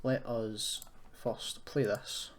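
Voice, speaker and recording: A man giving a casual software tutorial, rambling and thinking aloud with self-deprecating asides. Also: medium pitch, fairly even intonation, very slow. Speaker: tutorial host. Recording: clearly noisy, keyboard clicks and typing. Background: background noise, computer keyboard and mouse clicks.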